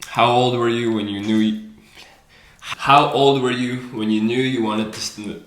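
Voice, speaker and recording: A man's voice: medium pitch, steady tone, moderate pace. A man from Latvia with a Latvian accent, chatting casually as a co-host in a question-and-answer session. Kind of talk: casual Q&A chat. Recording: echoey room, background noise.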